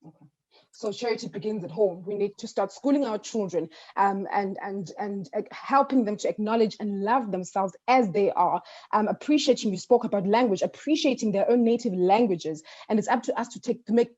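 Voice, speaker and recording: A woman hosts a discussion with a mellow, warm tone, speaking in a measured way as she leads into her questions. The recording sounds dull and dry, with little room sound. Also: mid-range voice, no room sound. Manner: measured, guiding questions, many ums. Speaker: host. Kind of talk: panel discussion.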